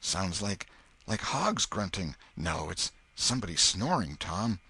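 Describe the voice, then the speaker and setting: A man voicing a scared, superstitious young village boy who speaks in a rustic backwoods dialect, hushed and fearful. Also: low-pitched, very animated, unhurried, gravelly, breathy edge, voice pushed up, heavily acted. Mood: frightened.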